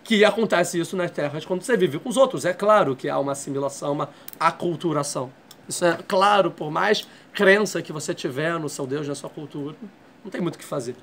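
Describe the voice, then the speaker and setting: Man teaching a torah class, high voice, patient, walks through each point. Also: quick pace, a bit bright, a little hiss or hum. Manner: explains step by step, checks in with "tá?". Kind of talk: classroom lecture.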